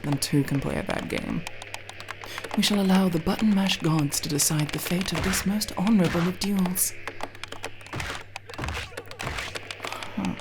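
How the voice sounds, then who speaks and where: A woman's voice: on the low side, noisy background, close to an everyday voice. A woman playing a teasing, foul-mouthed tsundere tomboy friend in an ASMR roleplay, bickering playfully with mock-grand turns of phrase. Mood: cocky, teasing.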